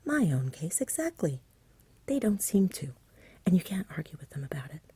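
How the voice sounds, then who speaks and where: A woman voicing a lazy, genial, poetry-loving male dragon from a storybook in a soft whisper, with a chatty, polite, dreamy manner. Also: on the low side, big pitch swings, moderate pace, breathy edge, no room sound, exaggerated voice. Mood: bashful, eager.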